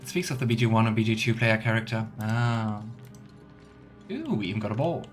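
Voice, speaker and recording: A man's voice: on the low side, animated, brisk. A man hosting a let's play, chatting casually and musing aloud as he goes. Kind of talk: casual game commentary. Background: game music.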